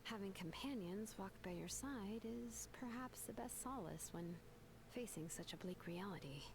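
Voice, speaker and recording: A woman voicing a gentle, earnest young adventurer in scripted video game dialogue. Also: medium pitch, expressive, moderate pace, vocal fry, noisy background. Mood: thoughtful.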